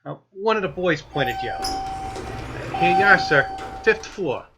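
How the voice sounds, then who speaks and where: An eager new elevator man in a vintage radio drama. His voice is fairly high and deferential, heard through heavy noise and clicks on an old recording. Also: lively intonation, a little slow. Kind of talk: 1940s radio drama acting.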